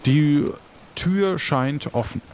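A man improvising the narration of an adventure audio play, halting as he searches for words. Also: a little high, a little hiss or hum. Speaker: game narrator and player.

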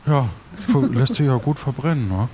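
A man playing a naive shipwrecked pirate in an improvised audio adventure, halting and repeating himself. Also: medium pitch, darkish tone, breathy edge, natural voice. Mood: hesitant, curious.

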